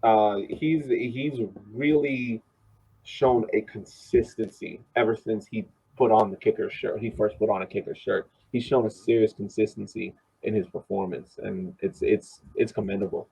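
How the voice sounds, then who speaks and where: A man co-hosting a soccer podcast, giving casual analysis in a halting way, repeating words as he builds his point, on a dull lo-fi microphone. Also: lowish voice, steady tone, creaky, mellow, husky.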